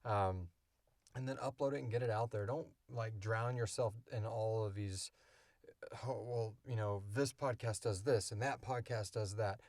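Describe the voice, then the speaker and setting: A man's voice: on the low side, moderate pace, a little mellow, dry recording, clean studio sound. A man hosting a podcast, answering questions in a casual, informal, rambling way. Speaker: podcast host and audio drama creator.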